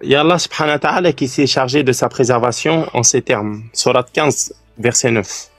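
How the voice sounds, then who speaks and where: A man who is a guest in a calm live-stream debate, speaking earnestly and in a friendly way while he searches for words. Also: medium pitch, brisk, no echo, soft background music. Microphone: remote live-stream call.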